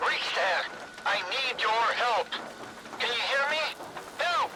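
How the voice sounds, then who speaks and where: High-pitched, frightened professor on radio. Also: male voice, animated, takes it slowly, background noise, exaggerated voice. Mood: panicked. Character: frightened male professor calling for help. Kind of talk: video game cutscene acting.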